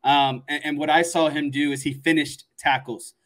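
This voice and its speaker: A man's voice: a little high, level tone, moderate pace, a bit bright. A man giving casual sports analysis on a podcast, explaining things and repeating phrases for emphasis.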